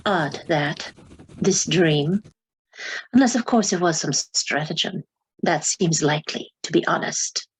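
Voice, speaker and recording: An actress playing a shrewd, sceptical questioner in a radio play, with a husky voice and dry, formal phrasing. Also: female voice, deep voice, a little slow, heavily acted, poor audio. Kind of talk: dramatic radio play acting.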